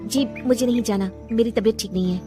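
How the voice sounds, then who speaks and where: A woman's voice: fairly high pitch, quick pace, over loud music, lightly acted. A woman voicing an unhappy young daughter-in-law in a cartoon story, plaintive and curt with frustration. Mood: withdrawn, curt. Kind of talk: Hindi cartoon moral story acting.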